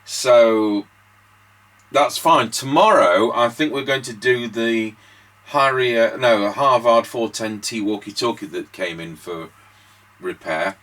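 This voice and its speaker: A man's voice: fairly low pitch, fairly bright tone. A man giving casual demonstration commentary as a radio repair host and operator.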